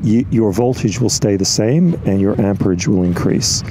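A man's voice: lowish voice, noisy background. A man in his fifties explaining, step by step, how he installed his catamaran's solar panels, speaking outdoors on deck. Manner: practical, step-by-step, with filler pauses. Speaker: boat owner and channel host.

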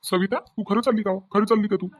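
A man's voice: high voice, steady tone, fast. A man with a Varhadi Marathi accent playing a conciliatory grown family man in a cartoon drama, coaxing and reasoning with repeated phrases. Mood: pleading.

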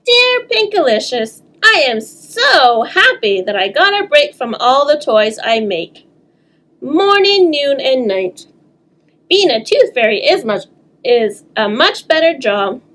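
A woman voicing an overworked, polite Christmas elf from a storybook, reading his letter in an expressive, deliberate way. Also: high-pitched, full character voice, low-quality recording. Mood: relieved, polite.

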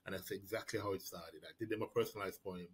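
A man's voice: low-pitched, steady tone, fast talker, a little hiss or hum. A man, answering questions about his writing over a video call, thoughtful and prone to restarting his sentences. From Jamaica. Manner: reflective, frequent restarts and repeated words. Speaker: prize-winning writer answering questions. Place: small room indoors. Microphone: webcam or laptop mic on a video call.

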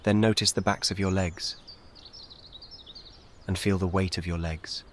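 Calm guy doing guided meditation, lowish voice, soothing and measured, faint nature noise behind him. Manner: calm, measured, soothing, with gentle short instructions. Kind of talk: guided meditation, scripted narration.

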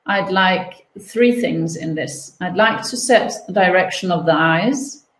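A woman in her thirties presenting an online coding webinar from home over a webcam, explaining steps in a relaxed way with playful comparisons. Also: on the low side, measured pace. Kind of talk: online teaching demo. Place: home room, indoors. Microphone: laptop webcam video call. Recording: roomy echo.

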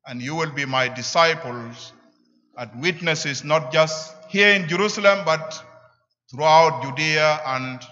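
A middle-aged man with a South Sudanese accent preaches a sermon deliberately, in short emphatic phrases, at a church lectern. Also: fairly high pitch, sharp tone. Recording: echoing church, slightly dull sound.

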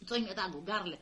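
A woman's voice: medium pitch, bright, forceful tone, exaggerated voice. An actress playing a simple middle-aged village mother, hesitantly stumbling through a part in a 1960s radio drama. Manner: hesitant, folksy, stumbling over words. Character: simple, flustered village mother haltingly reading a play part.

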